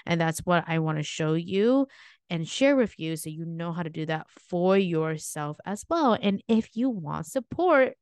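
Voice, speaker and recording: A woman's voice: lowish voice, expressive, measured pace, a little mellow, clean tone, no room sound, studio quality. A woman hosting her own podcast as a weight loss coach, chatting casually and upbeat, with a stuffy nose. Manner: chatty, upbeat, frequent "like" and "okay?". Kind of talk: casual solo podcast talk.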